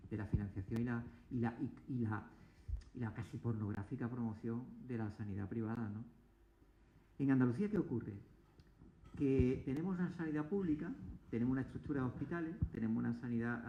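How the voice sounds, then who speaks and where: A man's voice: mid-range voice, slight background noise. A man speaking as a political guest, pressing point after point with emphasis and frequent restarts. He sits at a table mic in an echoey room, and the sound is slightly dull. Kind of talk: argumentative political talk. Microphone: table mic, asked to move it closer.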